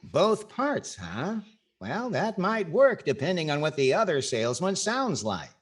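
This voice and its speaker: A man's voice: fairly high pitch, huge pitch swings, measured pace, breathy edge, exaggerated voice. A man playing a wry, skeptical radio comedy star in 1940s style, with theatrical timing.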